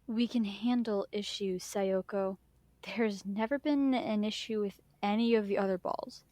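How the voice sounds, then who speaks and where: A woman's voice: medium pitch, fairly even intonation, measured pace, mellow, no echo, close to an everyday voice. A woman playing a level-headed, reassuring castle security colleague in audio drama dialogue.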